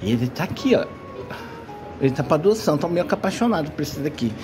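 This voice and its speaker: Older Brazilian man vlogging, lively voice. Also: on the high side, quick pace. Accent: Brazilian Portuguese. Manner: chatty, easily delighted, talks to viewers. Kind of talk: casual vlog chat. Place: cat café indoors. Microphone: phone held at arm's length. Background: background music.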